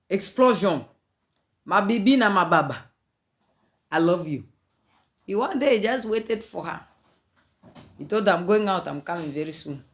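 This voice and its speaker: A Zambian woman in her thirties speaks straight into a phone camera from a small indoor room. She talks in an animated, preachy way, breaking into laughter and slipping in pidgin phrases as she goes. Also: fairly low pitch. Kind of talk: casual preachy monologue to camera. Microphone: phone camera at arm's length.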